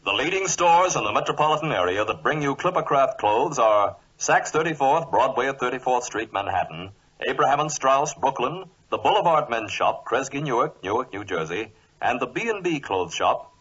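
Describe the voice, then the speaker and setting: A husky-voiced man reading a 1940s radio advertisement with deliberate emphasis. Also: mid-range voice, expressive, a bit bright. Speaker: commercial announcer. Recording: dull old radio recording.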